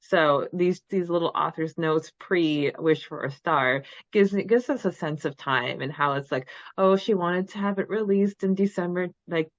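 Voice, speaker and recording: A woman's voice: on the low side, normal speed, few pauses. A woman co-hosting a fan podcast, chatting casually in an effusive, giggly way, often repeating words. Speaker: podcast co-host. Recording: slightly dull lo-fi audio.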